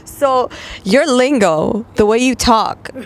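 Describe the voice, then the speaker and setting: A woman's voice: on the high side, takes it slowly. A woman hosting a casual interview, asking questions in a conversational, agreeable way. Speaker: host, interviewer.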